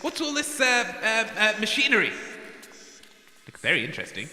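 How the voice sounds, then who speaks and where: A man's voice: high voice, wildly swooping pitch, moderate pace, pushed and bright, full character voice. A man playing a nervous, hesitant radio sidekick, stammering his way through a comic audio drama. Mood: curious, astonished. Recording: echoey hall.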